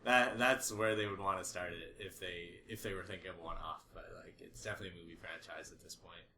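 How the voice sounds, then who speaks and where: A man's voice: deep voice, steady tone, quick pace, bright, forceful tone. A man giving his opinions in casual, slangy podcast chat, swearing now and then. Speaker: podcast panelist. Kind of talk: casual chat about games. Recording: echoey room, faint background noise.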